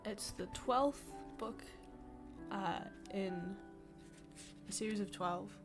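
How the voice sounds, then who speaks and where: Slow, sniffly New Zealand woman. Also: mid-range voice, music in the background. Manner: chatty, self-deprecating, laughs often. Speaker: livestreamer reading a book aloud. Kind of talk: casual livestream chat and book reading. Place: at home.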